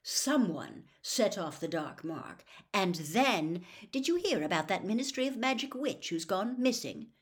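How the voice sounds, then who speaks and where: A woman voicing a troubled adult male wizard who gives earnest, hurried warnings in a storybook reading. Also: mid-range voice, sing-song, voice pushed up. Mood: urgent.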